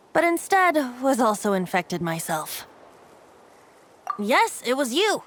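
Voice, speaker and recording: A woman voicing a playful, secretive young male bard in a video game cutscene, talking in a lilting, teasing way. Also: on the high side. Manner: lilting, teasing, then soft and solemn. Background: game soundtrack music.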